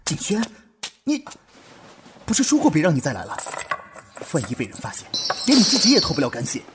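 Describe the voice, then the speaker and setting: A man's voice: a little high, huge pitch swings, brisk, background noise. A man playing an earnest, resolute young soldier with heartfelt, solemn delivery in a radio drama. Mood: anxious, urgent. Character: earnest young male soldier, secret communist, loving and resolute. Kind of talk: Chinese fan radio drama acting.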